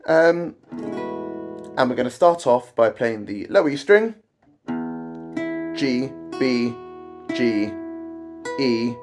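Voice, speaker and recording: A man teaching a guitar arrangement in a casual, wry, self-deprecating way, his voice croaky from an oncoming cold. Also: medium pitch, lively intonation, drawn-out words, long pauses. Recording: guitar playing behind the voice.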